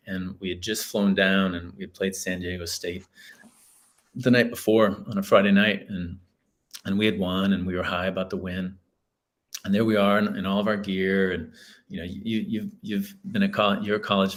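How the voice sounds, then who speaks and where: Deep low guy, 40s, earnest reflective storyteller. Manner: earnest, reflective, many restarts. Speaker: guest, author and school leader. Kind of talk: storytelling in a podcast interview.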